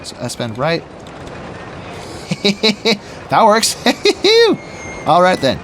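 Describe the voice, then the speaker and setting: Raspy-voiced young man rambling while gaming. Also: high voice, huge pitch swings, extremely slow, fairly bright tone, a little hiss or hum. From Washington State. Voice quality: slightly raspy. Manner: rambling, chatty, laughs often, talks to himself while building. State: rough, deeper voice after being sick. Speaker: gaming YouTuber. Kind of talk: casual let's play commentary.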